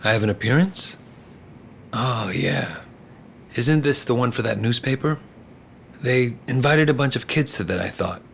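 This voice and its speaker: An actor playing a confident, smooth-talking governor who speaks in a breezy, self-assured way in a video game. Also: male voice, mid-range voice, expressive, unhurried, long pauses, a bit bright, a little hiss or hum.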